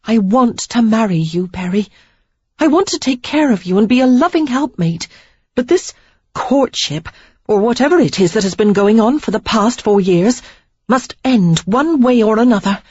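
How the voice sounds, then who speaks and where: Indignant young woman on the verge of tears, read by a woman, voice pitched up, a touch husky. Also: expressive, normal speed, darkish tone, dry recording, highs cut off. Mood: pleading, resolute. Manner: pleading, emphatic, near tears. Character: young woman, frustrated and resolute suitor.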